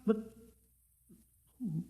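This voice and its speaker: A man's voice: very slow, warm tone, echoey room. An actor playing a frightened, helpless middle-aged man in an old radio drama, stammering and pleading. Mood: shocked, stammering. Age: 40s. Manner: stammering, breathless exclamations. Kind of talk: 1950s radio drama acting.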